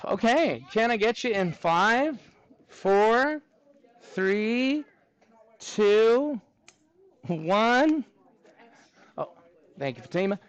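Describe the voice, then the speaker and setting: A man with a Texan accent teaches a math class, his voice sitting high for a man. He jokes with the students between problems. The sound is dry and close, with no room echo. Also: big pitch swings, drawn-out words, long pauses, smooth, highs cut off. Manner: chatty, joking asides to students. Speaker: middle school math teacher. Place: school classroom.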